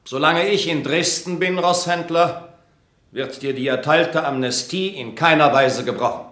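An actor playing a curt, commanding prince in a German radio drama, speaking formally. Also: male voice, fairly high pitch, a little slow, fairly bright tone. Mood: curt, assured. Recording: roomy studio radio drama recording.

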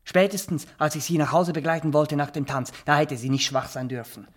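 An actor playing a nervous, boastful Swiss small-town barber in a radio drama, rambling, halting and always justifying himself. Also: male voice, a little high, steady tone, natural voice. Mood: defensive, smug. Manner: rambling, halting, repeats himself, keeps adding tag questions. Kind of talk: naturalistic radio drama acting.

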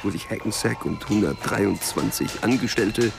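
An actor playing a cynical, restless small-time drug hustler in a radio crime drama, sardonic and brooding in wry asides. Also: male voice, on the low side, moderate pace, creaky, over music. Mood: brooding sarcasm.

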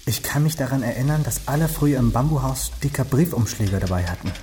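An actress playing a casual, direct police detective who keeps asking questions, in a naturalistic radio crime drama. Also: female voice, extremely low pitch, lively intonation, brisk, warm tone. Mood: probing. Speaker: actor. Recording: sizzling kitchen noise behind voice.

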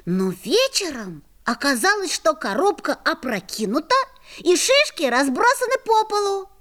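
Boy narrator, children's audio play: high, lively, wide-eyed, expressive. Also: normal speed. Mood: excited, intrigued. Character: young boy narrating his magical adventures, wide-eyed.

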